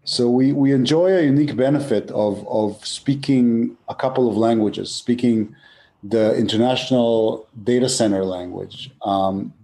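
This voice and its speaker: Mellow-voiced male executive from Israel. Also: mid-range voice, a little slow. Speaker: data center company executive. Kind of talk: interview answers.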